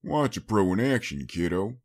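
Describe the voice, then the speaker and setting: A man's voice: lowish voice, big pitch swings, moderate pace, a little mellow, voice pushed up. Man playing a folksy, fatherly older pony stallion. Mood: confident. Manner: folksy, easygoing, affectionate. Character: good-natured, boastful older stallion, fatherly to a kid.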